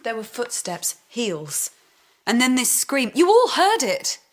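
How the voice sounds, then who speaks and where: An actress playing a fragile but insistent scientist in a radio drama, intense and fraught. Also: female voice, on the high side, sing-song, takes it slowly. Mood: insistent dread. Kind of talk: naturalistic radio drama acting.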